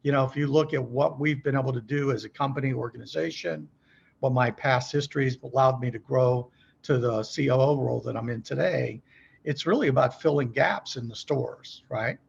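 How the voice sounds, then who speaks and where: Middle-aged man, raspy creaky voice, folksy business explainer, podcast guest. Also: mid-range voice, a little fast, dull audio. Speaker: podcast guest, company executive.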